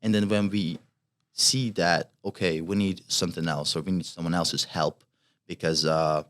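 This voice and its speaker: A man's voice: sits low, fairly even intonation, moderate pace. A young man in his twenties from Hungary with a Hungarian accent, a college fencer talking as a guest, hesitant with many fillers and restarts. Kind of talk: casual interview chat.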